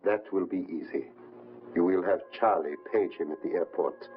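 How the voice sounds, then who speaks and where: Male actor, domineering gangland chief, 1950s film: low, cold, clipped orders, slightly muffled vintage sound. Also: moderate pace, faint music behind, lightly acted. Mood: menacing. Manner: commanding, curt. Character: cold, domineering crime boss. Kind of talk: 1950s crime film acting.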